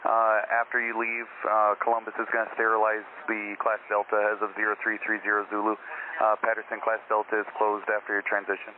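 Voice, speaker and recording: Male air traffic controller, lowish matter-of-fact voice, procedural calls through faintly noisy radio. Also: monotone, brisk, phone-quality sound.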